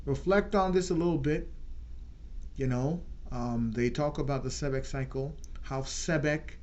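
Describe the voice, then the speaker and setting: A man hosting his own channel, giving an earnest unscripted political talk and slowing down to stress key words. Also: medium pitch, darkish tone, dull audio, a little hiss or hum. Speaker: channel host.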